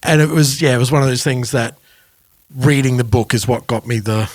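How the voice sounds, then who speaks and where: A man's voice: medium pitch, fairly quick, dry recording. A man co-hosting a podcast, telling anecdotes in a casual, informal way. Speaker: podcast co-host. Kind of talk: casual music podcast chat.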